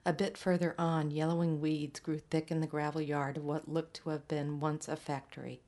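A woman reading a literary short story aloud in a measured, scripted narration. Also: low voice, flat delivery, darkish tone. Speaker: story reader.

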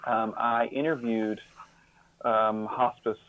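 A man speaking as an interview guest, explaining in a halting way, repeating words and stressing key terms. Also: medium pitch, flat delivery, fairly bright tone, highs cut off, a little hiss or hum. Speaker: interview guest, author and sociologist.

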